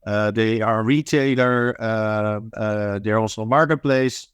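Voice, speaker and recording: Man, resonant clear voice, halting interview answers, fillers and restarts. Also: on the low side, fairly even intonation, dry recording, studio quality. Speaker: guest, managing director of an anti-scam nonprofit. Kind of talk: interview answers, thoughtful and hesitant.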